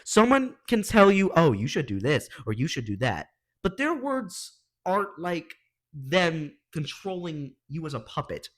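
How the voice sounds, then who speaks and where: A man's voice: fairly high pitch, sing-song, measured pace. A man hosting a reaction video with casual, slangy, opinionated commentary in an exasperated manner.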